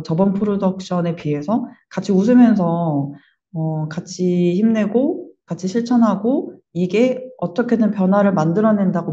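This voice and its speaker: A woman on an art production team speaking reflectively in an interview, thoughtfully pausing mid-phrase to find her words. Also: fairly low pitch, warm tone, dull audio.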